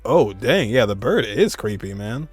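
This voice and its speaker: A man's voice: lowish voice, big pitch swings, unhurried, darkish tone, no room sound. A man giving informal, reactive game commentary, thinking aloud in a casual way. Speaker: gaming commentator. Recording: faint game music behind. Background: game music.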